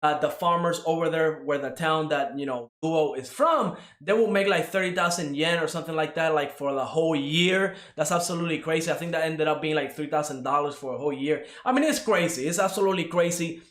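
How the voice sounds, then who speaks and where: A man's voice: a little high, a little fast, few pauses, a bit bright, roomy sound. A young man from Cuba giving a casual spoken anime review, rambling with many fillers and tag questions.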